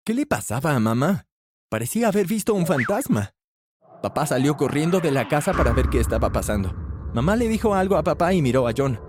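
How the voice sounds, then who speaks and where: Male narrator for a first-person story, fairly high voice with a lively, swinging tone; faint background noise. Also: a little fast. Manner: animated storytelling, shifts pitch for characters' lines.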